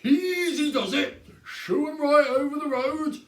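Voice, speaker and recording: A man reading a storybook and voicing a sly, commanding village police sergeant with a booming, bossy delivery. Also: a little slow, fairly bright tone, roomy sound, distant mic.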